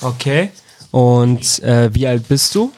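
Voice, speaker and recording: A man's voice: mid-range voice, expressive, slow, a little mellow. A man from Iraq interviewing young people in a casual way, often restating his questions in other words. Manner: informal, rephrases his questions several ways.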